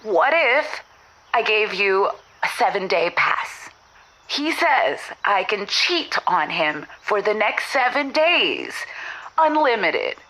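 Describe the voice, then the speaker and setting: A frazzled, defiant wife phoning a radio call-in comedy. She rambles breathlessly and excitably, with a bright, forceful voice that swings widely in pitch. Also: slow, heavily acted, rough recording. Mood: manic, defiant. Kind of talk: comedic audio drama of a call-in show.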